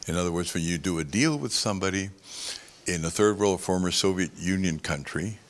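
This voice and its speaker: A man's voice: deep voice, fairly quick. A man speaking on a conference panel, discursive and explaining with homely analogies.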